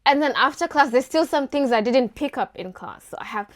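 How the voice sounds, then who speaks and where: A woman's voice: high voice, animated, fairly quick. Chatty young Kenyan woman. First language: not English; English one of her first languages. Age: young adult. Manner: chatty, frequent "like" and "you know". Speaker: guest, engineering student. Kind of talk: casual conversation.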